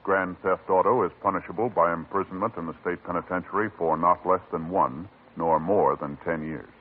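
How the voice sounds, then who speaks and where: A man announcing on an old radio show in a measured, formal, solemn way. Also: deep voice, steady tone, slight background noise. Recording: tinny old radio broadcast with music.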